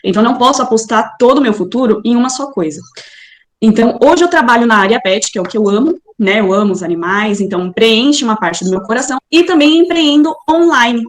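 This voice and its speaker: A woman's voice: a little high, lively intonation, fast, a little hiss or hum. Chatty Brazilian woman on an echoey call. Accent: Brazilian Portuguese. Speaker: interview guest. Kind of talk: casual video call interview.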